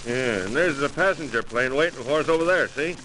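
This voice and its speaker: An actor playing a gruff, eager police sergeant in an old-time radio drama, with slangy, ungrammatical, blustering talk. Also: male voice, mid-range voice, expressive, a little fast, bright, forceful tone. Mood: blustery, confident. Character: gruff, eager, tough-talking male police sergeant, war veteran. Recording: noisy old radio broadcast.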